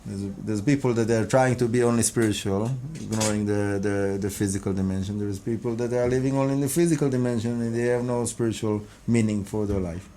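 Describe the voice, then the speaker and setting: A rabbi whose first language is Hebrew, answering interview questions in English in a halting, earnest way, often restarting and repeating words. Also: male voice, on the low side, warm tone, slight background noise. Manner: earnest, devout phrasing. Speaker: rabbi and kabbalah teacher.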